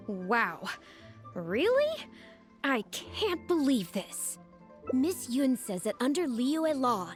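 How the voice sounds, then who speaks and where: A woman voicing a flustered, naive young female rookie journalist in a video game, excitable and expressive. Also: sits high. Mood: surprised, amazed. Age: young adult. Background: music.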